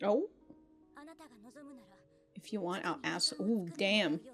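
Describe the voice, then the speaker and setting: A woman giving casual, excitable reaction commentary as host, swearing freely and often breaking into laughter. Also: mid-range voice, slow pace, breathy edge, soft background music.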